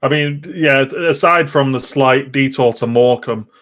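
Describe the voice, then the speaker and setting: Podcast guy, mid pitch, just chatting casually about games. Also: normal speed, fairly bright tone. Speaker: podcast co-host.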